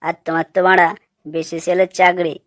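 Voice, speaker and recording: Woman as a blunt, low-pitched male buddy. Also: flat delivery, fast, no echo, studio quality, lightly acted. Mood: persuasive. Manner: coarse, persuasive, matter-of-fact. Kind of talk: comic cartoon dialogue.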